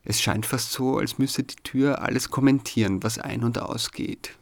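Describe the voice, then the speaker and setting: A man's voice: mid-range voice, fairly even intonation, moderate pace, vocal fry. A man with an Austrian accent, hosting a radio programme and reading scripted narration. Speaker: radio show host.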